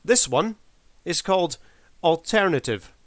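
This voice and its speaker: A man's voice: fairly high pitch, animated, measured pace, no room sound. A man giving casual review commentary as a video creator, in a wry, mock-formal showman's manner.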